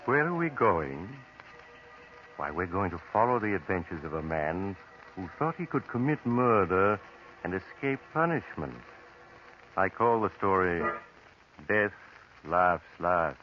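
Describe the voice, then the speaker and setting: An actor playing a grave, eerie storyteller, narrating 1940s radio horror in an ominous, deliberate, dramatic way on a dull, noisy old recording. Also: male voice, sits low, big pitch swings, music in the background. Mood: sinister, inviting.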